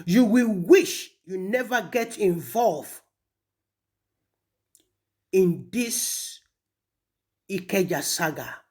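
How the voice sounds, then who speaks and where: A woman with a Nigerian Yoruba accent, speaking accusingly and emphatically in an impassioned public rebuke, with pointed pauses. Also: mid-range voice, lively intonation, a little mellow. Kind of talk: impassioned public rebuke, switching between languages.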